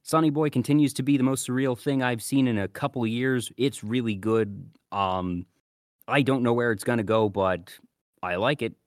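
A man's voice: mid-range voice, normal speed, no room sound, studio quality. A man hosting a podcast, giving casual, conversational reviews. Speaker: podcast host.

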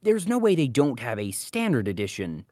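A man's voice: mid-range voice, wildly swooping pitch, moderate pace, a little mellow, no room sound, studio quality. A man co-hosting a casual podcast discussion, talking in an opinionated, argumentative way. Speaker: co-host.

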